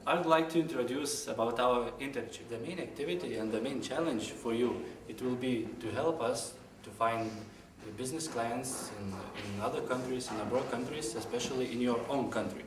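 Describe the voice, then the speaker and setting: A man introducing an internship in careful, halting English. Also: mid-range voice. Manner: careful, halting non-native phrasing. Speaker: internship organizer. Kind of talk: promotional introduction. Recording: echoey room, far from mic, faint noise.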